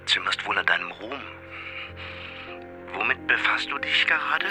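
A man's voice: fairly low pitch, lively intonation, measured pace, bright, forceful tone, faint music behind, exaggerated voice. An actor playing an uneasy, evasive and prying male friend in a radio drama, often half-whispering breathily. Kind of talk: German radio drama acting.

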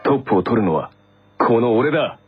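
An actor voicing an arrogant, commanding teenage tennis star in a dramatic anime album advertisement. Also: male voice, medium pitch, very animated, fairly quick, close to an everyday voice. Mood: imperious, boastful. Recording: dull broadcast ad with loud music. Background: loud music.

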